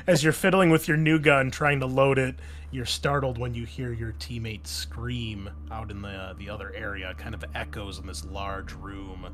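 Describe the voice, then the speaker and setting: A man with an American accent working as a game master, describing scenes in a conversational way and chatting casually with players. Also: mid-range voice. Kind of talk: improvised tabletop game narration with casual table talk.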